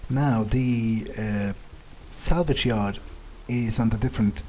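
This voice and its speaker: A man playing a wary, ruthless vampire on the hunt, thinking aloud in halting, hesitant phrases over a video game. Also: lowish voice, dark tone, slight background noise. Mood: musing, calculating. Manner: musing, hesitant, halting self-corrections. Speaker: let's player role-playing a game character. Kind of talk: in-character improvised gameplay narration, thinking aloud.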